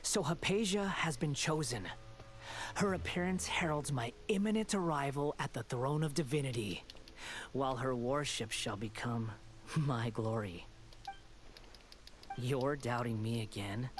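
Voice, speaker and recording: Give this one to an arrogant young god of a video game , played by a man. He speaks at a middling pitch with theatrical contempt. Every phrase is haughty, mocking and grandiose. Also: unhurried, slight background noise, natural voice. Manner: haughty, taunting, theatrical delivery. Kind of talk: video game dialogue acting.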